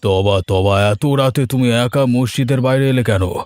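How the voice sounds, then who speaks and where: A man's voice: medium pitch, a little slow, a little mellow, dry recording, lightly acted. Pious, anxious older village uncle, acted by a man. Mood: alarmed, suspicious. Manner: dramatic, exclaiming, sighing. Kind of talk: cartoon voice acting. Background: music.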